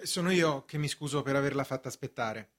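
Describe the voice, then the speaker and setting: An actor playing a stubborn, indignant young official accused in a radio drama, earnest and strained. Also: male voice, medium pitch, a little fast, a bit bright, natural voice. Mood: irritated. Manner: defiant, earnest. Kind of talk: radio stage drama acting.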